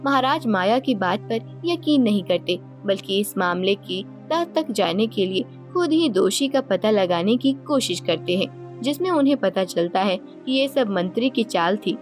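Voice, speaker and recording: A woman's voice: mid-range voice, lively intonation, a little slow, few pauses. A woman reading scripted storytelling narration as the narrator of a tale. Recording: loud music bed behind voice.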